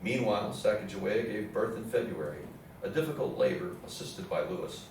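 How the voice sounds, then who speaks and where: A low-voiced man delivers scripted historical narration as a play's narrator. He is recorded from a distance in a very echoey room with faint noise. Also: expressive, brisk. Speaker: narrator in a readers theatre play. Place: indoor theatre room with audience program.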